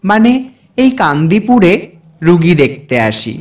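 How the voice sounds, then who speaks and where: A man's voice: high voice, sing-song, a little slow. A man playing a kind, earnest village doctor who narrates a dramatic cartoon ghost story in the first person. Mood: reflective. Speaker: narrator and lead character.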